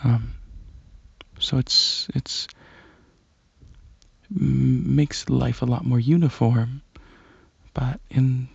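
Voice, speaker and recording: A man playing a shy, gentle alien dragon in an ASMR roleplay, speaking softly and haltingly in a breathy, earnest way. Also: medium pitch, fairly even intonation, no room sound, lo-fi sound, exaggerated voice. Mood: explaining. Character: shy, gentle alien dragon host, curious about Earth. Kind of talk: ASMR roleplay, soft rambling monologue.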